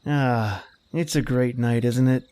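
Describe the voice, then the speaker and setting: A man's voice: medium pitch, steady tone, slow pace, dark tone, voice pushed down, natural voice. A man playing a grumbling, slangy young fiancé in an old-time radio drama, with a wry, exasperated manner. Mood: romantic, resigned. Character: grumbling wisecracking young fiancé dreading his boss-lady's party. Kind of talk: 1930s radio drama acting.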